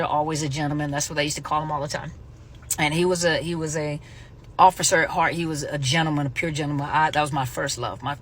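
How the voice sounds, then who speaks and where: A woman's voice: low-pitched, a little hiss or hum. A middle-aged woman who grew up in Germany, hosting her own show and chatting casually, rambling with many fillers and self-corrections. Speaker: host. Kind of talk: casual vlog talk.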